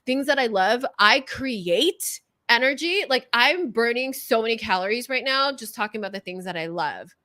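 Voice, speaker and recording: A middle-aged woman with a California Valley accent, whose first language is Korean, talking fast and passionately as a coach in an interview, sometimes stumbling over her words. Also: fairly high pitch, animated, pushed and bright, no echo. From Southern California. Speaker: guest coach. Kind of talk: energetic interview chat.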